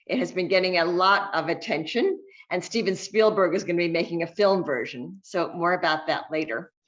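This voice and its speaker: A woman hosting an author interview over a video call, reading a measured, prepared introduction. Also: lowish voice, lo-fi sound. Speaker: interviewer and host.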